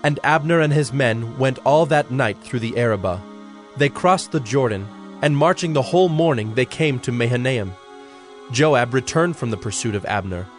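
A man narrating a dramatized audio Bible in a measured, solemn storytelling style. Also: mid-range voice, lively intonation. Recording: faint music behind narration.

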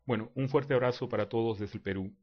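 A man from Lima speaking with a Lima Spanish accent, calmly explaining accents with frequent "eh" and "em" fillers. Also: medium pitch, highs cut off, a little hiss or hum. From Peru. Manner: unhurried, with frequent hesitation fillers. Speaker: narrator introducing accent examples. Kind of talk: casual spoken explanation.

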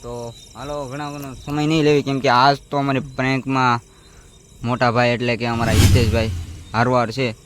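A man's voice: medium pitch, little pitch movement, normal speed. A man with a Saurashtra Gujarati accent talking casually to his viewers as a vlog host. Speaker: vlog host introducing a prank call. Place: outdoors beside a road with passing vehicles. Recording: noisy outdoors, insects and passing vehicles.

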